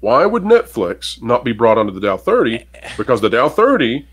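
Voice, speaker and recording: A man's voice: medium pitch, huge pitch swings, normal speed, fairly bright tone, slightly rough. A man co-hosting a casual commentary show, reading out an article and giving emphatic opinions to viewers. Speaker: podcast co-host.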